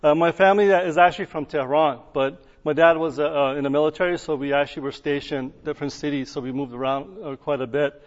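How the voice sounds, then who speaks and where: Halting man in an echoey hall. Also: on the high side, fairly even intonation. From Iran. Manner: halting, heavy with fillers and restarts, light chuckles. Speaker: speaker sharing his conversion testimony. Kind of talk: personal testimony talk to an audience. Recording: echoey hall, dull lo-fi sound.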